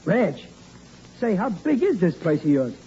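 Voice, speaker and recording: An actor playing a blundering, boastful, stubborn husband and dad in a 1940s radio sitcom, blustery and stammering. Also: male voice, high voice, very animated, a little slow, warm tone, full character voice. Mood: friendly, bumbling. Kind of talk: 1940s radio comedy acting. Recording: muffled old radio recording, faint noise.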